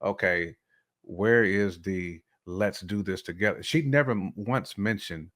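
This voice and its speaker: A man in his forties with a fairly low voice talks casually as a show co-host. He gives his opinions in colloquial language, often doubling back on his words as he works out a point. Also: measured pace, a bit bright. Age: 40s.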